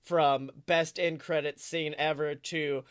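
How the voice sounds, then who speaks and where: A man's voice: on the high side, steady tone, takes it slowly, smooth, dry recording. Dry, close-miked male podcast co-host. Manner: jokey, crude humor. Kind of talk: casual comedic chat.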